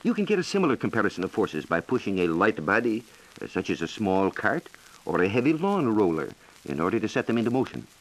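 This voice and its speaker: An American man explaining science in a measured, lecturing way, as a reporter in a scripted 1940s educational radio dialogue. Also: lowish voice, big pitch swings, low-quality recording. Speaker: science reporter and guest expert.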